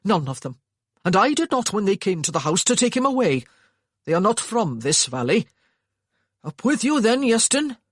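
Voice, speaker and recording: A narrator voicing a gruff young Welsh man who scolds his brother loudly and speaks stiffly to a stranger, with a Welsh accent. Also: medium pitch, very animated, moderate pace, no echo, studio quality, pitched above the performer's own voice.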